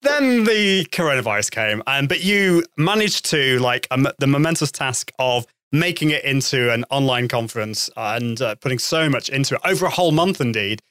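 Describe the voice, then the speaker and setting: A man hosting a live-stream podcast, chatty and lively, often restarting phrases and laughing. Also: medium pitch, normal speed, few pauses, clean tone, dry recording. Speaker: podcast host. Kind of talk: casual live-stream interview.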